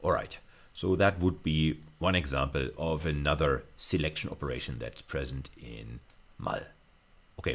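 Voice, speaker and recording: A male university lecturer. His speech is creaky with vocal fry. He explains a live technical demonstration informally, pausing to think aloud as he goes. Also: a bass voice.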